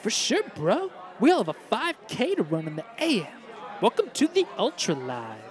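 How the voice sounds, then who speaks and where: A man's voice: sits high, huge pitch swings, normal speed, a faint crowd. A man playing a friendly young fitness-obsessed frat bro in a comedy cartoon, talking in bro-speak. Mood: welcoming. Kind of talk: animated comedy voice acting.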